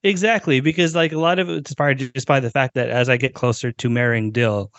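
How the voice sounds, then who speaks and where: A man's voice: medium pitch, steady tone, fairly quick, fairly bright tone, clear, resonant tone, no echo. A young man who co-hosts a podcast, talking and reading in a plain conversational voice. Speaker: podcast co-host and amateur writer. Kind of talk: dramatic story reading, then casual chat. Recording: dry, slightly dull close recording.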